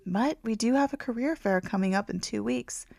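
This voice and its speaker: A woman voicing a teasing, self-assured male librarian in an audiobook, unhurried and faintly condescending. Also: fairly high pitch, creaky, darkish tone, dry recording, clean studio sound. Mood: calm, obliging. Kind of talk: audiobook character dialogue.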